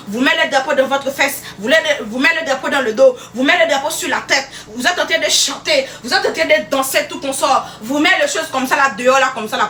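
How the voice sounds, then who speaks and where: A woman rants in a rambling, emphatic live chat, circling back over the same points. Her voice is high and bright, and she sits away from the mic with faint noise behind her. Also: recorded from a distance.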